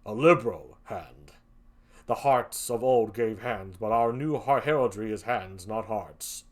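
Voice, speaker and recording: A reader voicing Othello as a low, brooding, jealous male general, speaking slowly and heavily in a play read aloud. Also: lightly acted.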